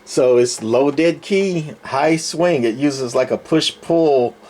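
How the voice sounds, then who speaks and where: A man's voice: mid-range voice, slow pace, a little mellow. A middle-aged man casually showing off his collection, talking unscripted in a folksy, rambling way as he thinks aloud. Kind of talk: casual, unscripted show-and-tell narration.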